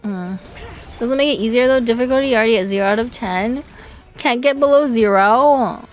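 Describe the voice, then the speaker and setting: A young woman streaming a game, chatting casually with her chat, swearing and yelling in excitement now and then. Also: a little high, moderate pace, soft background music. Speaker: VTuber streamer. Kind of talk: casual gaming livestream chat.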